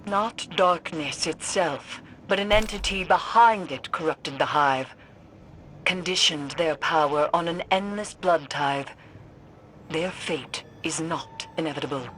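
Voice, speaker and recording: A woman's voice: sits low, measured pace, fairly bright tone. A woman playing a grave, cryptic female mystic who guides warriors in a game, speaking formally and theatrically. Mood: ominous. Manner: solemn, formal, ominous. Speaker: mission guide. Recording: noisy game sound effects behind.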